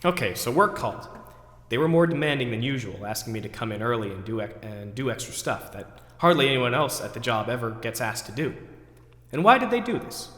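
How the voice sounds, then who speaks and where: A man's voice: mid-range voice, lively intonation, fairly quick, a bit bright, lightly acted. A man acting out the theatrical internal monologue of an overwrought, neurotic man who questions himself, recorded in an echoey hall. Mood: resigned, then bitter. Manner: ranting, then talking himself down. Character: overwrought, neurotic man agonizing over forgotten groceries, self-questioning. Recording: echoey hall with long reverb.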